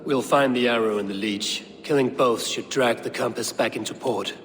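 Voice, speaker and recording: A stern Viking raider voiced by a man from a video game, speaking in terse, measured, formal phrases with grim purpose. His mid-pitched voice rings with long reverb over faint noise. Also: fairly bright tone, close to an everyday voice. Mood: grim resolve. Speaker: playable lead character.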